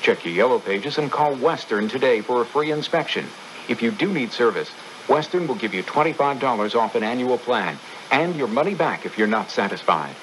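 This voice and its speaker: A man's voice: medium pitch, animated, a little fast, a bit bright, slight background noise, poor audio. A man reading a scripted radio advertisement as an announcer. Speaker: commercial announcer.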